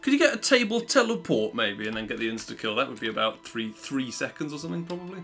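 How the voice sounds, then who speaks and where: Fast-talking bright man. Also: mid-range voice, very animated, vocal fry, faint music behind. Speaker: streamer host. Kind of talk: casual livestream chat.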